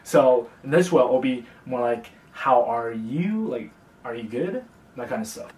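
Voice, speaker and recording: A young man from China, teaching a casual beginner language lesson in a relaxed, chatty way, with fillers and slow, careful repetition of example words. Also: medium pitch. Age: young adult. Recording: roomy, distant mic.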